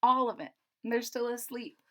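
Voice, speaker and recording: A woman's voice: a little high, moderate pace. A woman reading a children's story aloud expressively, with simple casual asides explaining it as if to young children. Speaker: storybook reader.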